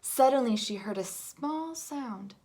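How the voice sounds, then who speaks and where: A woman reading a picture book aloud to children in an expressive, dramatic way, moving between hush and big swells. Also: on the high side, slow. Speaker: storybook reader.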